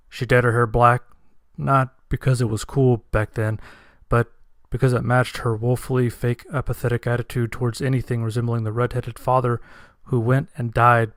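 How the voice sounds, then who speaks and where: A man reads a first-person dramatic story as its narrator. His pitch stays fairly flat and his manner is somber and earnest. The sound is dry, with little room to it. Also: no echo.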